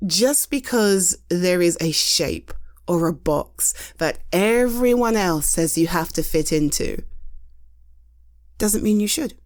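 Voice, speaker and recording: A British woman speaking in a loose, rambling roleplay to a friend. Her pitch leaps up and down as she jokes at her own expense, swears casually and keeps circling back to reassure. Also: animated, takes it slowly, darkish tone. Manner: chatty, rambling, self-deprecating, reassuring; swears casually, laughs often. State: tired. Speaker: audio roleplay performer. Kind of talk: scripted roleplay monologue to a friend.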